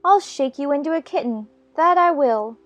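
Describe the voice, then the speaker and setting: High-pitched young girl acted by a woman, polite yet indignant, emphatic storybook dialogue, music behind. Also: expressive, normal speed.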